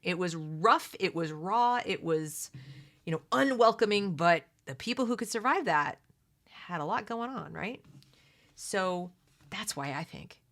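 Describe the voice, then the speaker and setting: A woman's voice: fairly low pitch, animated, measured pace, a bit bright. A middle-aged American woman giving opinionated, unscripted commentary while reading aloud from a book, with conversational asides and rhetorical questions. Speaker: host of a read-along commentary video.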